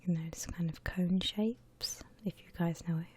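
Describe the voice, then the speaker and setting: A woman gently whispers an ASMR drawing tutorial in a breathy voice, explaining each step without hurry. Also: fairly low pitch, steady tone, normal speed, slight background noise.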